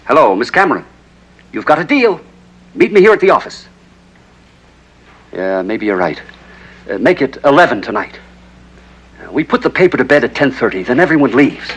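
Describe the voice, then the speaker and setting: A man's voice: medium pitch, sing-song, normal speed, pauses often, slightly rough, rough recording. An actor playing a brash, slangy old-time newspaperman with a blustery manner in a 1960s TV crime drama. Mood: businesslike. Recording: vintage TV soundtrack, faint noise.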